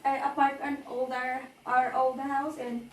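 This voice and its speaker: Female vlogger, Filipino accent, slightly hoarse, unhurried and halting, echoey sound away from the mic with background noise. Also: high voice, flat delivery. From Philippines. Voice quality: hoarse, with throat clearing. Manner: halting, chatty, repeats phrases. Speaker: vlogger giving a house tour. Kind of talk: casual vlog narration. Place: walking around a house, patio and indoors. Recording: roomy echo, distant mic, background noise.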